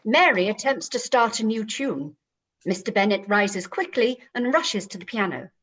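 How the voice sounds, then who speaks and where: A woman's voice: mid-range voice, lively intonation, normal speed, fairly bright tone, breathy edge. A woman narrating stage directions for a radio play in a scripted, present-tense style.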